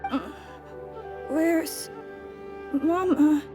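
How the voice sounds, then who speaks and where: Timid little boy, high and very slow. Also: fairly even intonation, music in the background. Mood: groggy, hesitant. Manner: hesitant, small, vulnerable, childlike. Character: timid, bewildered young boy turned little monster. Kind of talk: dramatic video game voice acting.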